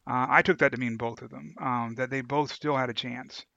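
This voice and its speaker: A man with a bright, forceful voice of medium pitch chats about a book as a podcast co-host. He is hesitant, often searching for words. The recording is dry and a little dull. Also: steady tone, fairly quick, gravelly, no room sound. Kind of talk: casual book discussion.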